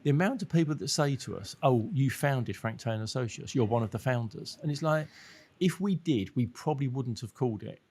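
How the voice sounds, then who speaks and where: A man's voice: mid-range voice, a little fast, few pauses, husky. A man co-hosting a podcast, chatting in a jokey, easygoing way and introducing his guests. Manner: chatty, jokey, repeats words when excited. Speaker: podcast co-host. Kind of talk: casual conversational interview.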